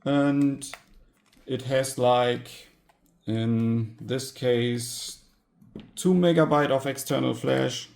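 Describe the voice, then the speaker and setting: Slow-talking man with a creaky voice. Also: medium pitch, fairly even intonation, a little mellow. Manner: halting, informal, pausing often mid-sentence. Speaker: tech hobbyist video host. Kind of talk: casual hands-on gadget teardown narration.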